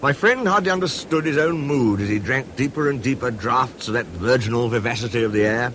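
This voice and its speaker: An actor playing a genial literary storyteller with a British accent, narrating a mystery in a measured, wry, ornate manner. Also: male voice, mid-range voice, expressive, a bit bright, slight background noise, natural voice. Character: genial, literary male storyteller, fond of his friend. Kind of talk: scripted first-person story narration.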